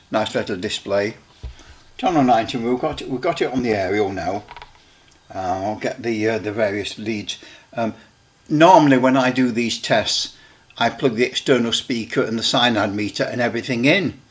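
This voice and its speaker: Halting man casually demonstrating equipment. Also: lowish voice. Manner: halting, rambling, repeats himself. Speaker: radio hobbyist presenter.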